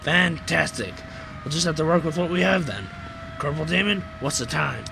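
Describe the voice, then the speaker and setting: A man's voice: fairly high pitch, moderate pace, creaky, background noise. A man playing a stern, commanding American army captain in an amateur World War II radio drama, speaking in dry, clipped orders. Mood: dry, irritated.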